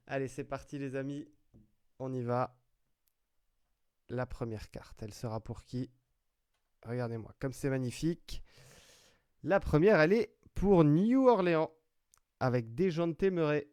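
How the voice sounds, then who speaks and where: A man hosts a card break in an unhurried, drawn-out delivery, calling out player names one after another between casual remarks. His voice sounds dry and close, with no room around it. He talks as he handles packs, chatty and loose. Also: medium pitch, animated, a little mellow, smooth, no echo. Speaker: trading card break host. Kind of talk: live commentary while opening card packs.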